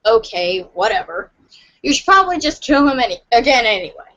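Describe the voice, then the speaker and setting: A woman's voice: on the high side, big pitch swings, brisk, fairly bright tone, poor audio. A woman reading second-person comic narration aloud for a podcast. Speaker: reader in a webcomic reading podcast.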